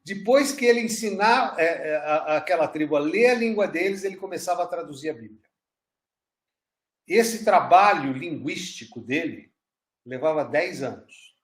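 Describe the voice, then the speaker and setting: Pastor teaching in an echoey room. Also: male voice, a little high, expressive, moderate pace. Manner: conversational, storytelling with restarts. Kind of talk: church class teaching.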